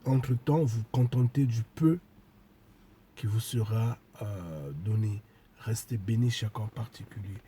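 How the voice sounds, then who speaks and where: A man preaching a sermon to his followers while congested with a cold, speaking deliberately and emphatically and repeating key phrases. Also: on the low side, dark tone, a little hiss or hum. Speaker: spiritual preacher.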